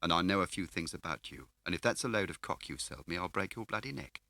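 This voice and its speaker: A man plays a gruff, streetwise detective for an audiobook. He speaks low and close, in terse, blunt phrases with a dry menace. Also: lively intonation, fast, pushed and bright, no echo, full character voice. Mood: threatening. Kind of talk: audiobook character voice.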